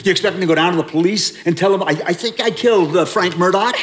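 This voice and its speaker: A man's voice: sits high, fast talker, fairly bright tone, a little hiss or hum. A man playing a nervous young husband who stammers and protests in an old-time radio drama. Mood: flustered. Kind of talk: 1940s radio acting.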